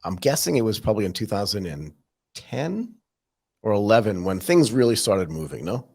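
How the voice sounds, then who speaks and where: A man hosting an interview podcast, an animated storyteller who acts out quoted dialogue in a casual conversational way. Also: on the low side, normal speed, gravelly, husky. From California. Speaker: podcast host.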